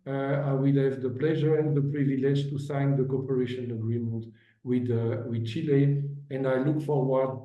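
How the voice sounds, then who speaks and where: A man giving formal conference remarks as an agency director, halting with many fillers and restarts, speaking as an over remote call audio. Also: mid-range voice, level tone. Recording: dull roomy audio from a distance.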